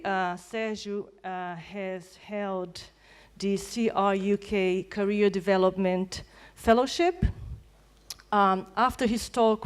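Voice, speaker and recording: A Brazilian woman opens a university lecture in a lecture theatre. Her delivery is slow and hesitant, broken by pauses, but her voice comes through smooth and clear. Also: medium pitch, darkish tone. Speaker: event host introducing the speaker. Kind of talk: formal event introduction.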